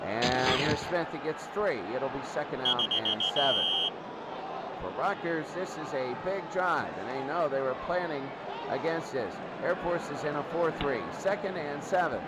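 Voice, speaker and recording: A man's voice: on the high side, expressive, normal speed. A man calling a football game as a live play-by-play commentator. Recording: loud crowd and noise behind commentary. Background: loud crowd, stadium-like noise.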